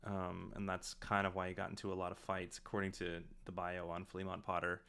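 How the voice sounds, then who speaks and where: A man with a fairly low voice hosts a casual director's commentary. He talks in a halting, reflective, rambling way, as on a relaxed live stream. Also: vocal fry, clear, resonant tone. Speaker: audio drama director and stream host.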